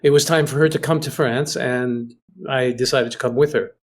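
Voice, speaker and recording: A man from Greece talks as an interview guest in a mid-pitched voice. His delivery is conversational and reflective, halting often as he searches for the right word. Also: fairly even intonation. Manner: hesitant, halting, pausing to find words. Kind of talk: conversational recollection. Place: remote internet video call.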